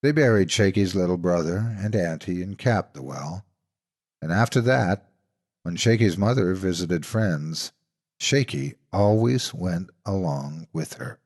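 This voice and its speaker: A man narrating scripted stories as a horror narrator, deliberate and dramatic in his delivery. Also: sits low, expressive, a little mellow. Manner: deliberate, suspenseful storytelling.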